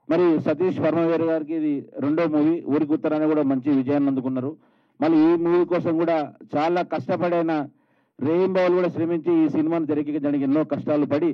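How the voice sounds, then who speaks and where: Higher-pitched Telangana Telugu man, dull recording. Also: dry recording. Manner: calls people out by name, conversational. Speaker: film producer. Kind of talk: informal public thank-you speech at a film event. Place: film trailer launch stage.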